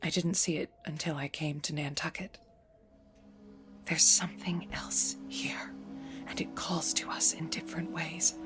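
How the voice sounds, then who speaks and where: An actress playing a grave, knowing guesthouse landlady in an audio drama, speaking in a hushed, earnest, ominous manner. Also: low voice, steady tone, measured pace, in a whisper, faint music behind.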